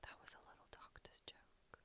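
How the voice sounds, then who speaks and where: A woman whispering in an ASMR roleplay as an ancient, aloof female vampire doctor, unhurried and drily haughty. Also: sharp tone, natural voice. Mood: languid haughty. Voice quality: whispered throughout. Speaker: ASMR creator.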